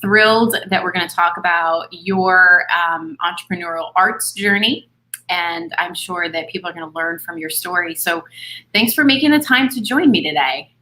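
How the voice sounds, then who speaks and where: Female series host, earnest and upbeat, slightly low voice with a bright edge. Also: normal speed, low-quality recording. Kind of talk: interview on a video series.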